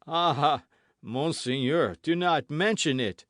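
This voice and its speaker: A man's voice: mid-range voice, expressive, unhurried, dry recording, studio quality, voice pushed up, natural voice. A man reading aloud, voicing a frightened innkeeper who pleads pitifully for mercy. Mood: pleading. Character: trembling, pleading middle-aged male innkeeper.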